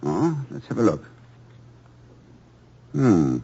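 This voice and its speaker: An actor playing a genial, self-deprecating male professor in a 1950s radio drama, speaking with a husky voice. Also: lowish voice, big pitch swings, drawn-out words, mellow, heavily acted. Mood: moved. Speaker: college professor. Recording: dull lo-fi old radio broadcast.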